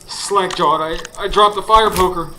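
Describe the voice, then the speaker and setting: A man's voice: sits high, measured pace. A man playing a grieving, frightened narrator in a live radio horror drama, telling it dramatically in the first person. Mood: terrified. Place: studio before live audience. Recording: noisy live radio stage recording.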